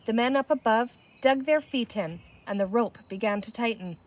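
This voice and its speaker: A woman's voice: fairly high pitch, moderate pace, rough recording. A woman reading a children's novel aloud outdoors, telling the story expressively and voicing the dialogue. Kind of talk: expressive read-aloud narration with character dialogue. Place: outdoors in the open air. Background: birdsong, wind chimes, a barking dog.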